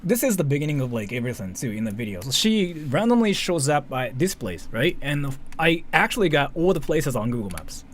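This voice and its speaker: A man's voice: medium pitch, very animated, a little fast, few pauses. A man from Japan with a Japanese accent doing casual, rambling live commentary, often repeating and interrupting himself. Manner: rambling, repetitive, self-interrupting, swears casually. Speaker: streamer, commentary host. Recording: faint background noise.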